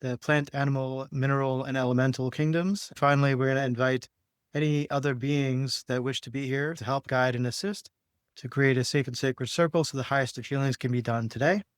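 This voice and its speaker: A man's voice: mid-range voice, fairly even intonation, brisk, smooth, dry recording, clean studio sound. A man speaking casually and in a laid-back way about spiritual matters, as a podcast host and healer guiding a session.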